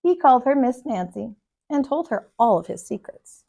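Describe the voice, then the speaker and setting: Female children's storybook narrator, higher pitch, deliberate and emphatic delivery, expressive read-aloud. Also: vocal fry. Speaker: storybook reader, kindergarten teacher.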